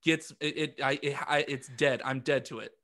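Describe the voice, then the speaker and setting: A man chatting casually as a podcast co-host, halting and repeating words as he works out his thoughts, often self-deprecating. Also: mid-range voice, fairly even intonation, sharp tone. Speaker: podcast co-host and amateur musician. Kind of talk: casual group chat.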